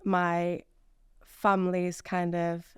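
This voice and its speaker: Chatty young woman, very smooth voice. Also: on the low side, completely flat delivery, slow, no echo, clean studio sound. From Iraq. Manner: chatty, frequent fillers and "do you know what I mean". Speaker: podcast guest. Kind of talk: casual interview chat.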